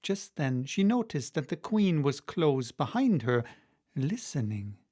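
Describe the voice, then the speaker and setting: Higher-pitched man reading a storybook. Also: big pitch swings, unhurried, dark tone. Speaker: audiobook narrator. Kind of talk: storybook narration, read aloud.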